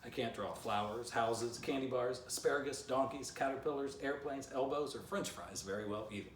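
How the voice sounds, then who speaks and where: A man's voice: medium pitch, moderate pace, slight background noise. A man in his fifties reading his own children's book aloud, with playful, chatty asides to young listeners. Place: living room by a fireplace, indoors. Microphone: camera a few feet away. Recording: roomy, slightly distant home recording.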